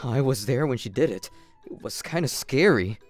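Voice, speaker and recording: A woman voicing a greedy, cowardly male in-law in an animated story. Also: a bass voice, normal speed, faint music behind. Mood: pleading, sheepish.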